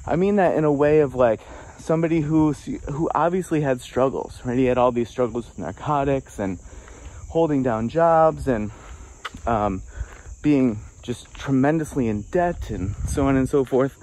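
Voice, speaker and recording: A man's voice: mid-range voice, moderate pace, a little mellow, slight background noise, poor audio. A man in his thirties musing casually while walking a wooded trail, filming himself on a phone at arm's length, with many fillers and restarts. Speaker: vlogger answering viewer questions. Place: outdoors on a wooded forest trail.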